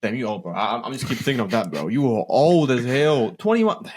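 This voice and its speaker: A man's voice: medium pitch, animated, fairly quick, a little mellow, slight background noise. A young man from Australia, a podcast co-host, joking and rambling in casual slangy chat. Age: young adult.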